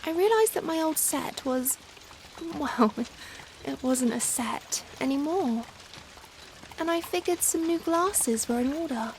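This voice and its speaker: A woman playing a young, charming female Victorian vampire in a softly spoken ASMR roleplay, gentle and caring, with formal old-fashioned phrasing. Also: high voice, takes it slowly, full character voice. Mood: warm, lightly amused. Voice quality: soft, gentle. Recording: steady rain noise behind the voice. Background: rain ambience.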